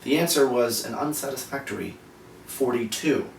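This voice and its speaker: A man's voice: mid-range voice, normal speed, creaky. A man co-hosting a geek culture explainer, presenting partly scripted material in a casual way. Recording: roomy, distant mic, faint background noise.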